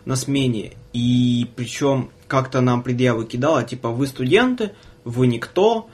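A man's voice: medium pitch, unhurried, darkish tone. A young man hosting a podcast, chatting casually with slang and swearing. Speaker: podcast host.

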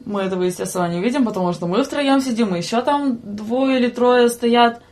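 A woman's voice: a little high, brisk. A young adult woman, a guest in a casual chat, telling stories in slangy speech and acting out what was said. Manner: animated, slangy, giggly storytelling with acted-out quotes. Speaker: podcast guest, student train attendant.

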